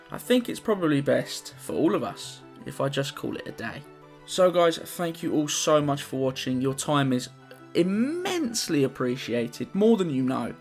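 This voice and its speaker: Lively gravelly young man, slightly hoarse. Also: fairly high pitch. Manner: animated, jokey, self-deprecating. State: slightly hoarse after flu. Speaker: gaming YouTuber. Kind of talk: recorded game challenge commentary.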